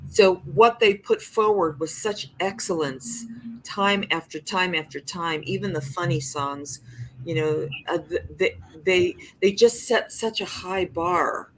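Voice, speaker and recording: A woman's voice: lowish voice, measured pace, slight background noise, low-quality recording. An older woman, a singer-songwriter, talking reflectively and expressively about her craft in a podcast interview, often restarting her sentences.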